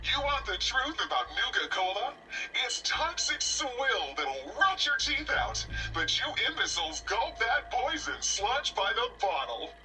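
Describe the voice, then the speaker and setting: Glitched spiteful robot. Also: female voice, fairly high pitch, sing-song, measured pace, pushed and bright, distant mic, soft background music, heavily acted, poor audio. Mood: scornful. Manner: sneering, contemptuous.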